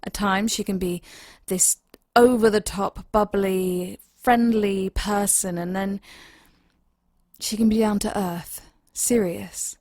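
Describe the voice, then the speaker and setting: A woman playing a reserved, anxious starship lieutenant in an audio drama, with a husky voice and a hesitant, thoughtful manner. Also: medium pitch, steady tone, slow, a little mellow, no echo, studio quality. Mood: reflective. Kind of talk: audio drama acting, personal log and dialogue.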